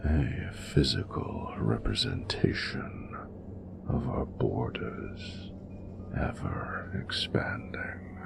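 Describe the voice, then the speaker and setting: An extremely deep, crackling dragon, ancient and courtly, murmurs to a beloved in a romantic audio roleplay. The delivery is very slow and poetic, sliding often into breathy near-whispers, with music underneath. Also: male voice, huge pitch swings, heavily acted. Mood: wistful, fond. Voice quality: very deep, gravelly, breathy rumble. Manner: slow, intimate, formal and poetic. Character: ancient, tender, courtly male dragon lover.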